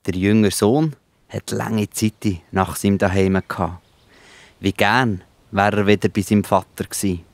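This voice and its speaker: A man's voice: fairly low pitch, fairly even intonation, measured pace, no room sound. A man with a Swiss German accent narrating a scripted Bible story for children.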